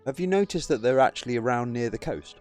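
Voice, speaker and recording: A man hosts a loose group chat, sardonic and sweary, dropping dry jokes and reading out comments from the chat. His voice sits at an average pitch. Faint music plays underneath. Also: animated, fast talker, darkish tone.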